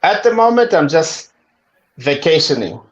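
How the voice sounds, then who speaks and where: A man in his forties from Zambia, a guest telling stories in a relaxed, friendly way. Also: fairly high pitch, a little slow, highs cut off. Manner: relaxed, friendly storytelling with asides. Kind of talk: casual interview chat.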